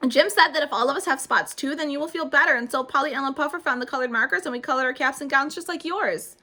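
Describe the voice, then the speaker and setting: A woman's voice: high voice, racing, bright, forceful tone, pitched above the performer's own voice. A woman doing a gushing, eager little girl. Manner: breathless and gushing.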